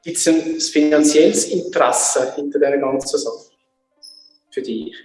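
A male host asks curious, probing questions in a fairly high voice. The recording sounds roomy, with faint music underneath. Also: normal speed, a little mellow, recorded from a distance. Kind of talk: casual interview chat.

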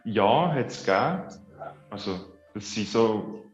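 A man's voice: fairly low pitch, animated, slow. Reflective Swiss German-accented man. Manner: reflective, hesitant, thinking aloud. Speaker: guest. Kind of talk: casual interview chat. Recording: roomy, dull lo-fi, faint music.

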